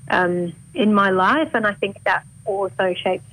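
A woman with a mild north Welsh accent softened toward English, a singer talking openly and chattily as a radio interview guest. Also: medium pitch, a little slow, no echo, rough recording. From Wales. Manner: chatty and open, laughs easily.

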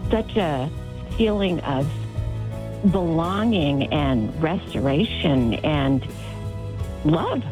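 A woman's voice: on the low side, poor audio. A woman calling in to a radio show, telling her story candidly and poking fun at herself. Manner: candid, self-deprecating, laughs at herself. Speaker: radio caller. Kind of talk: call-in testimony.

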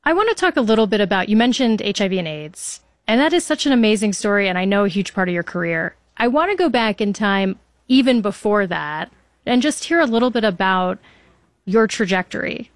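A woman's voice: medium pitch, animated, a little fast, no echo. A woman interviewing a guest, asking curious, probing questions. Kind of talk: conversational interview.

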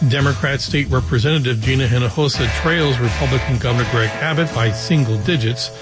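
A man reading a radio show promo with a brisk announcer delivery. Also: mid-range voice. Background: music bed.

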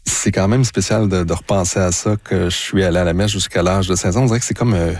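A man with a Québécois French accent hosting a radio talk show, calm and curious, guiding the conversation. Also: low-pitched, dry recording. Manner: calm, curious, reads quotes deliberately. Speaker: radio host. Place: radio studio. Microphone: studio microphone.

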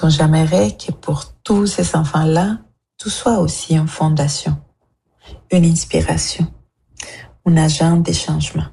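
A woman's voice: low-pitched, fairly even intonation, a little slow, creaky, dark tone. A woman from Mexico leaving an earnest, prepared personal message as a radio listener.

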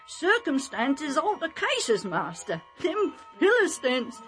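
A woman voicing a rough, pleading male herdsman with rustic, uneducated speech in a children's story. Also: high voice, wildly swooping pitch, slow pace, fairly bright tone, faint music behind, pitched above the performer's own voice, heavily acted. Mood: pleading, indignant.

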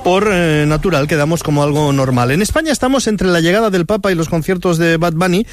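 Ironic high-voiced male host. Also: fast talker. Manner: dry, ironic. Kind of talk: satirical news commentary.

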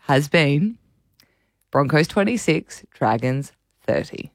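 A young Australian woman co-hosting breakfast radio, her voice hoarse and raspy, chatting in a rambling way with frequent laughs. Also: low-pitched, sing-song, slow, no room sound, clean studio sound. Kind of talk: casual breakfast radio banter. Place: radio studio.

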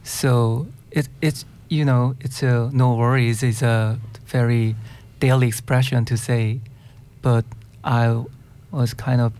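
Man, Korean accent, native Korean speaker, very slow pace, mid-pitched, hesitant word-searching, singer-songwriter interview guest. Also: fairly even intonation, a little mellow. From South Korea. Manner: hesitant, searching for words in non-fluent English. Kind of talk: casual interview answers.

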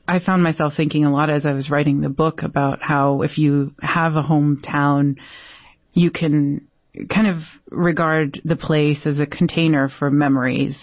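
A Brazilian-born woman poet answering interview questions thoughtfully, with many pauses and fillers. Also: low-pitched, dry recording. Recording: dull, dry lo-fi sound.

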